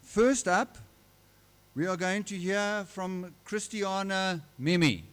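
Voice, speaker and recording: A man acting as emcee, announcing the next speaker in a slow, formal manner. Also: high-pitched, smooth. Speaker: event emcee. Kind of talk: announcing the program. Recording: long reverb in a hall.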